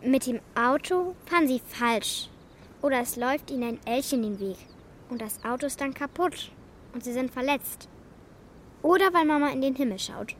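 A woman's voice: high-pitched, expressive, moderate pace, a little hiss or hum. A woman playing an earnest, stubborn young girl in a children's radio play, talking in an insistent, pleading way. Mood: explaining.

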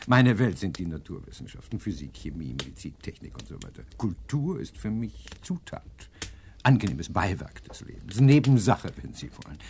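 An actor playing a pompous, exacting scientist-detective in a German radio crime drama, pedantic and condescending. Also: male voice, low voice, very animated, moderate pace, vocal fry, darkish tone, slight background noise, full character voice. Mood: self-satisfied, lecturing.

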